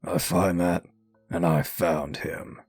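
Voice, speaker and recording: A man voicing a grim masked vigilante detective in a comic dub, husky and terse, like a stern inner monologue. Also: flat delivery, takes it slowly, darkish tone, no room sound, faint music behind, exaggerated voice. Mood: brooding. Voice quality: husky, low. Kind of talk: comic dub dramatic narration.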